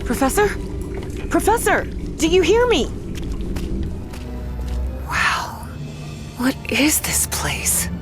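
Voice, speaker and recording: Expressive, curious young woman explorer. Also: high voice, takes it slowly, long pauses, music in the background, full character voice. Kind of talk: video game voice acting.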